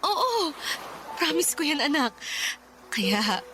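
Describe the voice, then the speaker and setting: A woman's voice: high-pitched, very animated, takes it slowly, background noise, heavily acted. A woman playing a devoted, anguished mother in a Filipino radio drama, tearful, pleading and tender. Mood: tender, emotional.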